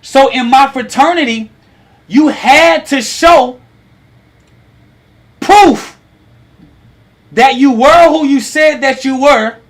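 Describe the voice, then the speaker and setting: A man in his thirties hosting a lively talk, teasing and quizzing his online audience. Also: extremely high pitch, takes it slowly, pauses often. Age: 30s. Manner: animated, teasing, asks the audience questions. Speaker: host.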